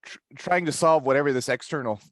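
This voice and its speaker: A man talking casually as a podcast panelist, working through his thoughts aloud in a halting way. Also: mid-range voice, no room sound.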